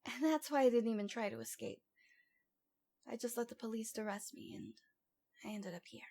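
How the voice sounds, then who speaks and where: A woman's voice: fairly high pitch, expressive, normal speed, a little mellow. A woman playing a cute, lovesick young stalker girl in an ASMR roleplay, with a coy, pouty, dramatic delivery. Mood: hurt, wistful.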